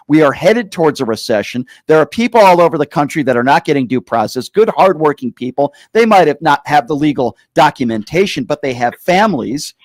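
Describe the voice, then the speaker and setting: A man's voice: on the high side, no room sound. An American man hosting a political call-in show, arguing insistently, cutting in and stressing key phrases with repeated pointed questions. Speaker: talk show host. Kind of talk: heated political call-in debate.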